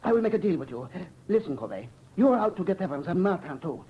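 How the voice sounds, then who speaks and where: An actor playing a cowardly, scheming criminal in a 1930s radio melodrama, wheedling and gloating with theatrical excitability. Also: male voice, sits high, fast, slightly rough, heavily acted. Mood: pleading, wheedling. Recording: muffled, noisy 1930s radio recording.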